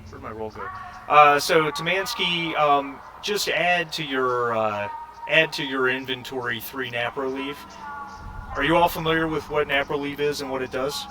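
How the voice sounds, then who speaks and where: A man running a tabletop roleplaying game as game master, speaking in a halting, thinking-aloud way. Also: fairly high pitch. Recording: clearly noisy background hum.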